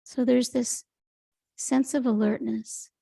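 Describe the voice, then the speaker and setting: Woman meditation teacher: highish, very creaky voice, soft and breathy, gently soothing and unhurried. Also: steady tone, no room sound. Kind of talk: guided meditation, Yoga Nidra.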